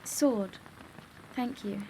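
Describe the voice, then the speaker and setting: An actress playing a firm, wistful young video maker, earnest and plain-spoken, in an audio drama. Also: female voice, mid-range voice, drawn-out words, dark tone, a little hiss or hum, low-quality recording. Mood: resolute, wistful.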